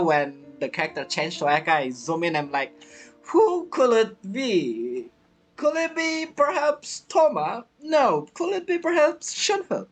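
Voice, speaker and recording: Game streamer, excitable, dramatic, very varied pitch, repeats phrases, light game music behind. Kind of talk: casual gameplay commentary, reacting to story.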